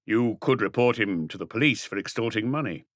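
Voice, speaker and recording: A man's voice: on the low side, expressive, fairly quick, fairly bright tone, no echo, studio quality. A man voicing a composed, probing police detective who asks terse, persistent questions in an audiobook. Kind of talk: audiobook character dialogue.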